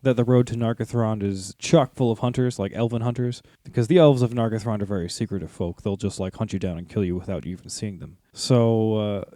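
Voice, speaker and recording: A man talks as the host of a casual fan podcast. His voice is mellow and soft-edged, recorded with very little room sound. The delivery is informal and conversational. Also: on the low side, a little fast, few pauses, no echo, studio quality. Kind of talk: casual fan podcast discussion with read excerpts.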